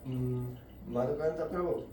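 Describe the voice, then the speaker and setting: A man giving a devotional scripture lecture to a group indoors, halting and searching for words as he explains. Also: mid-range voice, dark tone, slight background noise. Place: indoor gathering room. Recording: very echoey room, voice far off.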